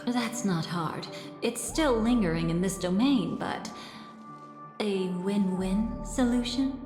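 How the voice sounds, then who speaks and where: Actress as a slow-spoken, sly woman schemer. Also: medium pitch, lightly acted. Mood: calm, coaxing. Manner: measured, theatrical, sly delivery. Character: poised, teasing, secretive woman schemer. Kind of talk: video game voice acting. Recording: echoing reverb under loud music.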